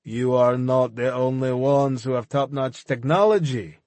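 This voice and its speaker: A man's voice: mid-range voice, a little mellow, no room sound, close to an everyday voice. A man doing a gloating fanatical smuggler. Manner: gloating, slow and taunting. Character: menacing male arms smuggler, taunting, fanatical.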